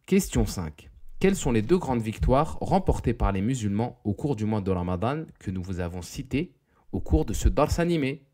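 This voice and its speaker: A man narrating a scripted educational quiz, reading questions and answers in a deliberate, didactic way. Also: lowish voice, big pitch swings, a little mellow.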